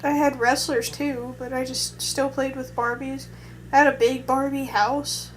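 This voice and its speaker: A woman's voice: high-pitched, little pitch movement, unhurried, a little hiss or hum, low-quality recording. A young adult woman vlogger in casual chat, talking in a hesitant, rambling way with many restarts.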